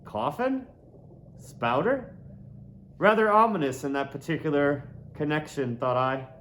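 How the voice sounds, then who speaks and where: Male audiobook reader for a classic novel: very unhurried, theatrical, savouring words, slightly raised pitch. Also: lively intonation, a bit bright, slight background noise. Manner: deliberate, dramatic, lingers on and repeats words. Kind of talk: literary narration, theatrical reading of a classic novel.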